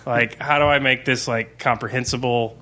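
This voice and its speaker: A man's voice: medium pitch, fairly even intonation, moderate pace, bright, forceful tone. A man chatting casually as a game master, talking informally with frequent swearing and "like". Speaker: game master on a gaming podcast. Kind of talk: casual chat, recounting a game campaign.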